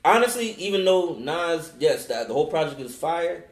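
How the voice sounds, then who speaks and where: A man's voice: fairly high pitch, reverberant. A man co-hosting a podcast, chatting casually with lots of restarts and fillers. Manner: relaxed, halting, many restarts. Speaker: podcast co-host. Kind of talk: casual chat.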